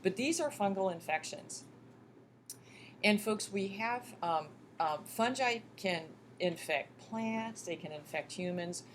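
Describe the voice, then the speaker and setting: A woman's voice: mid-range voice, expressive, slow. A woman lecturing to a college class in a folksy, encouraging way, prompting students with questions as she goes. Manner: folksy, addresses students often as "you guys" and "folks"; encouraging, question-and-answer teaching. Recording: clearly noisy classroom recording.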